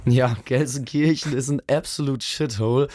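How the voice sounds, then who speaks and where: A man's voice: mid-range voice, steady tone, moderate pace, background noise. Upbeat German radio host, man. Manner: upbeat, chatty. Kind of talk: casual radio banter.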